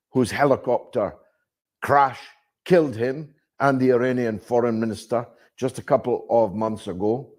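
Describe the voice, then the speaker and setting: Man, political commentary, slightly high-pitched, very slow pace, deliberate, rhetorical, emphatic phrasing. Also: darkish tone. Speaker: political commentator delivering a monologue. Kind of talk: spoken political commentary to an audience.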